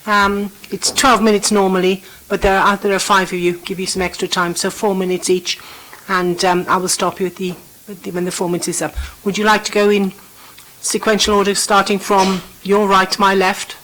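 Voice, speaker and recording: A woman chairing a council meeting, setting out speaking times in a brisk, procedural way. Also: medium pitch, flat delivery, a bit bright, slight background noise, low-quality recording.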